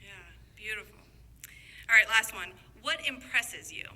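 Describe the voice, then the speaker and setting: Woman host, strikingly bright voice, fairly high pitch, casual interviewing, affirming. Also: lively intonation, unhurried.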